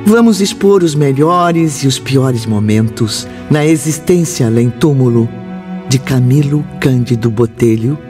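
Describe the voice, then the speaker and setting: A man's voice: mid-range voice, expressive, measured pace, darkish tone. A man giving solemn, dramatic scripted narration as the narrator of a story. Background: music.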